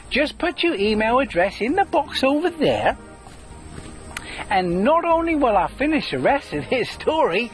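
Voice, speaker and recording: A woman reads a children's story with a bouncy, sing-song delivery. Her pitch leaps high and low on almost every phrase. She teases the listener and chuckles often. Faint music plays underneath. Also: rough recording. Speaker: storyteller and author. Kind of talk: playful storybook narration.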